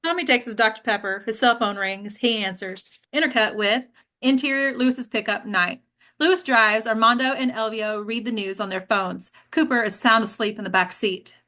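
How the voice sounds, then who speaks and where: A woman reads screenplay stage directions aloud as a narrator. Her voice is on the high side and strikingly bright and crisp-edged. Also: normal speed. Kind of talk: scripted narration at a table read.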